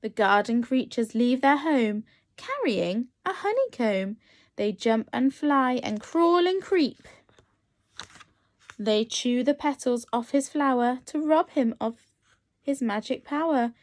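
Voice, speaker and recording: A woman reading a picture book aloud as a nursery teacher, expressive and encouraging as she addresses young children. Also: a little high, takes it slowly, dry recording, studio quality. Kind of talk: animated children's storytime reading.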